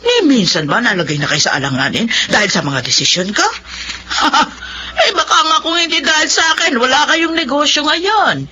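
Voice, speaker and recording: A woman's voice: sits high, big pitch swings, moderate pace, sharp tone, heavily acted. An actress playing a domineering older mother-in-law in a radio drama, scornful and cutting. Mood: scolding. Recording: lo-fi with faint hiss.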